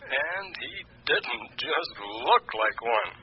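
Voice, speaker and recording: An actor playing a worldly French lawyer in a radio drama, gossiping in a wry, hesitant, rambling way. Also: male voice, fairly high pitch, big pitch swings, very slow, gravelly, full character voice. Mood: genial, gossipy. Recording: muffled, noisy old radio recording.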